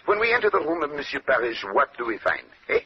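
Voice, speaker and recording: Courtly, brilliant Belgian detective, French-speaking accent, theatrical and precise; vintage radio drama, muffled and hissy. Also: male voice, a little high, big pitch swings, quick pace. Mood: confident, explanatory. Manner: precise, lecturing, punctuates points with questioning "eh?". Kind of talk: old-time radio drama acting. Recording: dull, noisy old radio recording.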